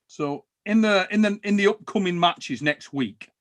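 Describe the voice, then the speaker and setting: A man's voice: high-pitched, normal speed. Chatty man hosting a live chat. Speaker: host.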